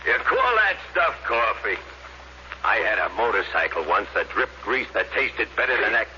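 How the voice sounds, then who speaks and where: A man's voice: on the low side, sing-song, normal speed, bright, forceful tone, full character voice. An actor playing a crude, scornful, lazy space crewman, with coarse slangy American speech in old radio drama style. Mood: griping, scornful. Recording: muffled noisy old radio recording.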